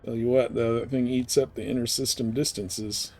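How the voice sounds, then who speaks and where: A man's voice: mid-range voice, steady tone, moderate pace, darkish tone, slight background noise. A man chatting casually and informally as he streams a game. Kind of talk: casual chat while playing a space game live stream.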